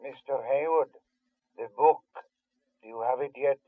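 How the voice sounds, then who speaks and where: Coldly menacing kidnapper on tinny phone. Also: male voice, medium pitch, a little hiss or hum. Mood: cold menace. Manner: cold, measured, politely threatening. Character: coldly menacing male kidnapper issuing ransom instructions. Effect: telephone line. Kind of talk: radio spy thriller acting. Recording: tinny narrow phone-line sound.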